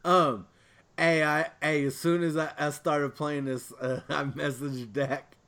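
A man hosting a podcast, chatting casually in an informal, halting way. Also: on the high side, little pitch movement, fairly bright tone. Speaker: podcast host. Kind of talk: casual chat.

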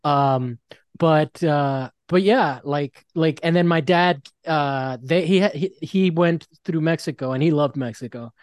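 Laid-back higher-voiced man, dry close mic. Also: measured pace, no room sound. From United States. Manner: laid-back, uses like and dude, laughs often. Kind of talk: casual conversation.

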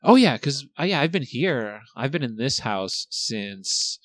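Lively rambling young man, dry sound. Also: medium pitch, unhurried, no echo. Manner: rambling, laughing, swearing freely. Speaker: podcast co-host. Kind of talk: casual chat.

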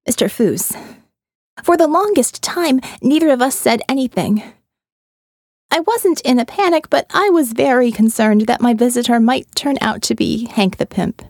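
A woman's voice: on the high side, a little mellow, dry recording, clean studio sound. Woman narrating a wry first-person audiobook.

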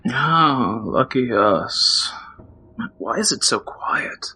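An actor playing a sardonic, wisecracking mercenary with a gravelly, drawling, sarcastic delivery in an audio drama. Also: male voice, mid-range voice, animated, drawn-out words, sharp tone, slight background noise, heavily acted.

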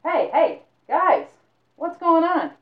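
A woman plays a jumpy wandering antique dealer, a man who stumbles over his words and turns shrill with alarm. The sound is thin like a phone call and echoes around the room. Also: expressive, brisk, recorded from a distance. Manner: hesitant, stammering, then alarmed.

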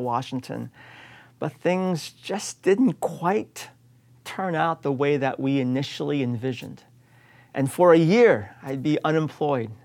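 A man in his late forties delivers a sermon at an unhurried, deliberate pace. His voice sits a little higher than most men's, and he presses hard on each point, circling back to the same refrain again and again. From United States. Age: 40s. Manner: earnest, emphatic, with repeated refrains. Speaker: pastor.

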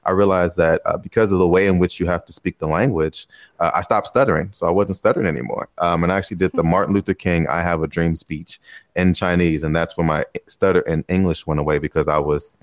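A man's voice: low voice, fairly even intonation, fast talker, no room sound, clean studio sound. A man hosting a podcast interview, asking long, rambling questions full of "you know" in a casual chat. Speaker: podcast host. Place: lives in Taiwan.

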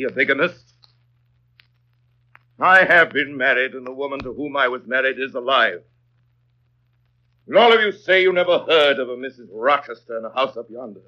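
An actor playing an imperious, tormented gentleman in love, with intense theatrical delivery in a 1930s radio drama. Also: mid-range voice, natural voice. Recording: muffled vintage 1930s radio recording.

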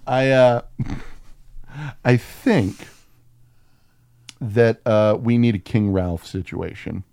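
A man's voice: lowish voice, slow pace, vocal fry, dark tone, smooth, no echo. A man in casual comedic chat as a podcast co-host, riffing irreverently and swearing freely.

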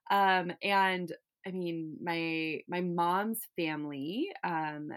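A woman's voice: fairly low pitch, extremely slow, a bit bright. A young woman from California in casual chat, talking with lots of fillers and light laughs. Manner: chatty, many fillers and likes, laughs easily.

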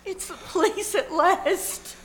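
A woman's voice: very high voice, slow. A woman acting a terrified, hysterical wife in an old-time radio suspense play, tearful and melodramatic. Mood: horrified. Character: hysterical, terrified wife begging her husband to act.

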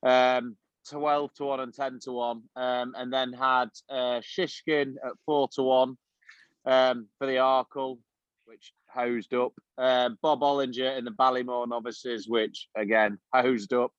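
A man's voice: mid-range voice, level tone, a little slow, clear, resonant tone, dry recording. A man co-hosting a casual podcast, rambling through an anecdote with plenty of fillers. The recording sounds dull and lo-fi. It is very dry, with no room sound. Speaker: podcast co-host. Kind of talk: casual chat.

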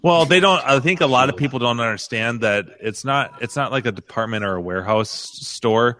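A man hosting a podcast in relaxed, informal conversation with friends. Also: medium pitch, fairly quick. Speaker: podcast host. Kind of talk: casual chat.

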